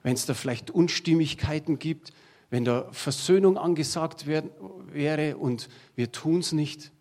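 A man's voice: fairly high pitch, unhurried, darkish tone. A middle-aged man preaching a sermon to his congregation, talking in a plain conversational way and drawing on everyday examples. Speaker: pastor.

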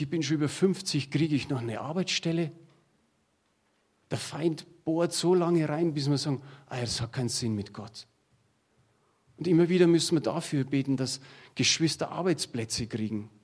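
A man's voice: fairly high pitch, moderate pace, slightly rough. A middle-aged man preaching a sermon to his congregation, talking in a plain conversational way and drawing on everyday examples. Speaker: pastor.

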